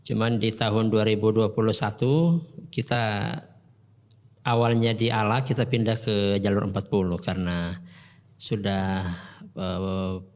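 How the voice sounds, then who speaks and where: Calm man with hesitant answers. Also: on the low side, unhurried, pauses often, long reverb tail. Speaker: Islamic teacher, foundation head. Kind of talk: plain interview answers.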